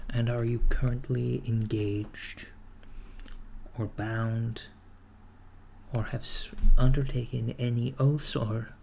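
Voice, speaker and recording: A man playing a calm, deadpan recruiter of henchmen in an ASMR roleplay, speaking softly and hesitantly, often near a whisper. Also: medium pitch, takes it slowly, gravelly, long pauses, slight background noise. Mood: polite, businesslike. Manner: hesitant, deadpan, reassuring office politeness. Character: calm, matter-of-fact villain's henchman recruiter, male, mildly awkward. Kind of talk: ASMR roleplay, soft-spoken job interview. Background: computer keyboard typing.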